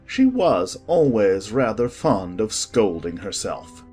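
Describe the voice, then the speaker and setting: A man's voice: on the low side, sing-song, takes it slowly, darkish tone, faint music behind. A man reading scripted storybook narration expressively.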